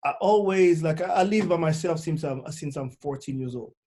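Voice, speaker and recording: Guest: young man with a French accent, warm dark tone, halting speech full of fillers. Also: a little high. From Congo, born in France. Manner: halting, many fillers and restarts. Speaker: booking agent, guest. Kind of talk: casual interview.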